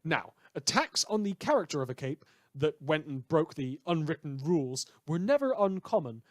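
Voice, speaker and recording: Man playing a wry radio news presenter, audio drama, higher-pitched male voice, very animated intonation, theatrical, chatty sardonic asides. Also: no echo, clean studio sound. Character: opinionated, indignant, wry male small-station radio presenter. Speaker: fictional radio news host.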